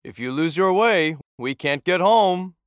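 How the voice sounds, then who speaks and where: Fretful, swooping wild goose. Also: female voice, on the low side, expressive, measured pace, smooth, no echo, studio quality. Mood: earnest. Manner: fretful, insistent. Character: anxious wild goose follower. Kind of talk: children's audio story acting.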